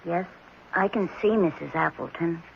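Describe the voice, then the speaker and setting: An actress voicing a strange, quiet, dreamy orphan boy who answers simply in a 1970s radio drama. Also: lowish voice, normal speed, creaky. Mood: detached. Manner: simple, detached, answers briefly. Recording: muffled, noisy vintage radio recording.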